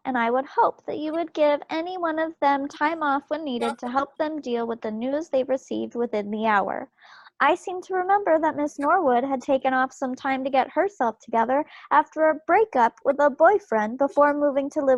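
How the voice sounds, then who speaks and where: Woman acting young wartime railroad wife, high voice, dry sound, formal, indignant, firm, stage play reading. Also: expressive, normal speed, dry recording. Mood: pointed, indignant. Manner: formal, firm, articulate self-defence. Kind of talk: one-act play table read. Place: indoors, remote video call read. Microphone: video call.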